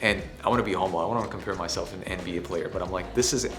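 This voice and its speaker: A man with a hoarse, recovering voice, talking casually to camera as he gets ready to host a workshop, swearing and keeping his voice soft. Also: sits low, racing. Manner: casual, swears, talks softly to spare his voice. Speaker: ads agency owner hosting a workshop. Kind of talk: casual vlog talk to camera. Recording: echoey room.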